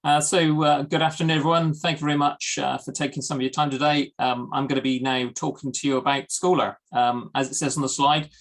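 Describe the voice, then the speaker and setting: A man from the UK giving a product presentation in a webinar over a video call, steady, with frequent ums and uhs. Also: on the high side. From United Kingdom. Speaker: guest presenter.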